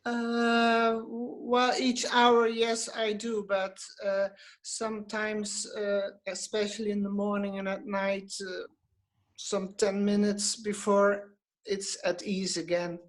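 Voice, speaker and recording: Woman, Dutch accent, slow, hesitant, video call caller. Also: medium pitch, level tone. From Netherlands. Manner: hesitant, searching for words.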